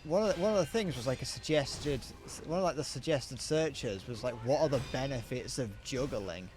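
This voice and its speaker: Lively rambling young male streamer. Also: mid-range voice, moderate pace. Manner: rambling, self-deprecating, trails off mid-sentence. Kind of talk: casual chat while gaming.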